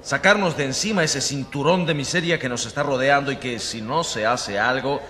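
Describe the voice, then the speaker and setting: An actor playing an indignant, scornful journalist in a radio drama, emphatic and opinionated. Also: male voice, mid-range voice, normal speed, bright, forceful tone, natural voice. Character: indignant, scornful male journalist backing harsh measures. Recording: long reverberant hall.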